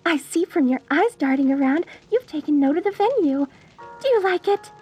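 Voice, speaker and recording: High-voiced, lovestruck deranged mortician bride. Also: female voice, lively intonation, normal speed, mellow, soft background music. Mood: cheerfully menacing. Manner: theatrical, cooing, genteel and gushing with endearments. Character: sweetly deranged yandere woman mortician, lovestruck and menacing bride. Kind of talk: dark comedy audio roleplay, addressed to the listener.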